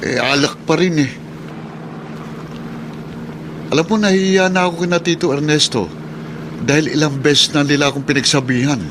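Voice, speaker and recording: A man's voice: a little high, fairly even intonation, fast talker, noisy background, lightly acted. An actor playing an apologetic, worried young man in a Filipino radio drama, pleading and hesitant. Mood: exasperated. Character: apologetic, worried young man, put-upon loyal cousin. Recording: music under the dialogue.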